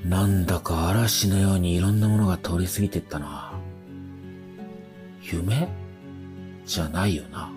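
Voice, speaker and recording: Low-voiced bewildered everyman in radio drama. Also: measured pace, long pauses, music in the background. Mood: pensive. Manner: casual, wondering, introspective. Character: bewildered ordinary man entrusted with a future device. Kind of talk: radio drama dialogue and first-person narration.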